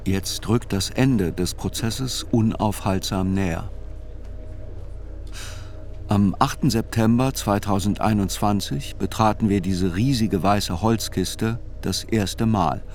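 An actor as a sober chronicler, narrating a radio drama in reflective, deliberate literary phrases. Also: male voice, lowish voice, a little mellow, a little hiss or hum. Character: sober male chronicler narrating a criminal trial.

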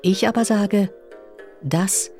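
A woman's voice: mid-range voice, slow, mellow, soft background music. A woman reciting verse as a passionate ancient lyric poet in a radio feature. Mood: assured, admiring. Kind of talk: literary recitation of poetry.

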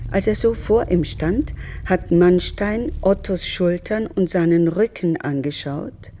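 An actress playing a grave woman who recounts cruel things in a measured radio drama monologue. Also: fairly low pitch, dark tone, slight background noise.

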